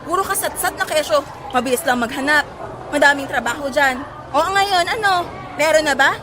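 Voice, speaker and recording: A woman's voice: sits high, normal speed, crowd noise, background noise, lightly acted. A woman playing an exasperated, struggling mother and nagging wife in a Filipino audio drama, scolding and sarcastic.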